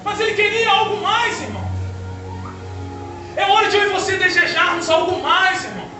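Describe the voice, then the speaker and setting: High-voiced preacher in an echoey hall. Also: male voice, moderate pace. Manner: impassioned, swings between hushed and shouted, keeps addressing "brother". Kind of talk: sermon. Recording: echoey hall, voice far from the mic, music behind. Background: music.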